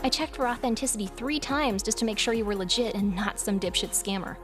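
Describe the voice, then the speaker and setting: A woman's voice: mid-range voice, a little fast, over music, natural voice. An actress voicing a young hacker revolutionary in a video game cutscene, confiding and dryly sardonic, with swearing in her speech.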